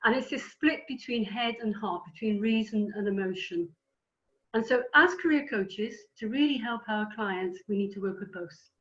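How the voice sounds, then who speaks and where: A woman with a Welsh accent giving a keynote talk on a video call, speaking quickly and explaining with fillers. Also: on the high side, a bit bright, lo-fi sound. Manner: fast-talking, explanatory, with fillers. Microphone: computer microphone on a video call.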